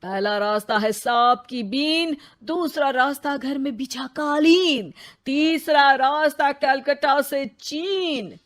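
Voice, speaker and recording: A woman acts out a self-important newsman from a magic television in a storybook tale. The voice is fairly high, slow and theatrical, full of cheeky chat. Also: fairly bright tone. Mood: pompous. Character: cheeky talking male newsreader inside a magic television.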